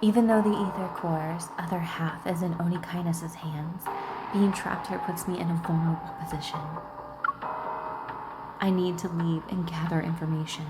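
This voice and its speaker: A woman hosting a gaming video, reading game dialogue aloud and adding salty, expressive commentary. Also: on the low side, normal speed, very noisy. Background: game music.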